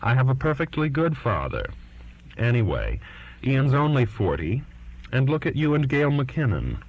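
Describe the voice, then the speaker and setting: A man's voice: medium pitch, wildly swooping pitch, moderate pace, voice pushed up. A man reading as an earnest, defiant twenty-year-old daughter writing to her father, pleading and insistent, on a lo-fi recording. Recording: dull lo-fi recording.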